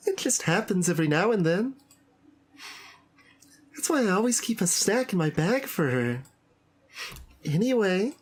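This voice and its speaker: A man voicing a calm, reassuring teenage club girl from an anime visual novel, in a breezy manner. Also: sits high, breathy edge, voice pushed up, exaggerated voice.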